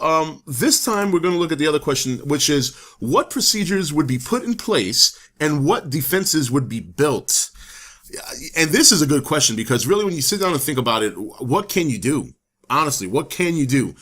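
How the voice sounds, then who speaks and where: A man hosting his own channel, talking casually to camera, a little hoarse from the flu, rambling with many fillers and restarts. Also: on the high side, big pitch swings, normal speed, fairly bright tone. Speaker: channel host. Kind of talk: casual opinion talk to camera.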